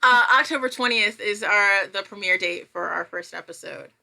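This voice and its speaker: A woman chats casually as a podcast guest. Her voice is very bright and sharp, at an average pitch. She sounds informal and a little hesitant. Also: normal speed, vocal fry. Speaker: podcast guest, fiction podcast creator. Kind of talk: casual chat.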